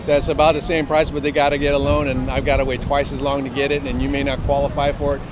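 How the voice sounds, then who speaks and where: A man's voice: little pitch movement, fast talker. A man explaining things conversationally as an interview guest. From Southern California, USA. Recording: clear outdoor rumble and wind noise.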